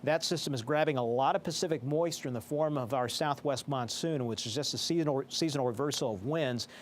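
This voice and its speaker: Male weather presenter, mid-pitched, formal explanatory forecast delivery, slight background noise. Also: brisk. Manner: formal, explanatory broadcast delivery with technical phrasing. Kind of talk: live weather forecast commentary.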